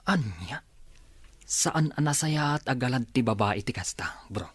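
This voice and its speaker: A man's voice: medium pitch, lively intonation, a little slow, husky, no echo. A man acting a concerned, incredulous best friend in a drama, questioning and urging with blunt advice.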